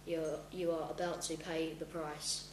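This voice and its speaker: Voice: medium pitch, little pitch movement, unhurried, lightly acted. A school pupil playing a stern, self-assured male police inspector in an amateur radio play, with stumbling delivery. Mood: resolute. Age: child, about 12 to 13. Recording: echoey room, clear hum.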